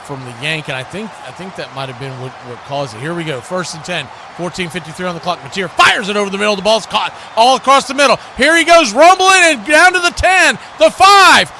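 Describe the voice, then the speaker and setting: A man doing excited live play-by-play commentary of a football game. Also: high-pitched, fairly quick, few pauses, background noise.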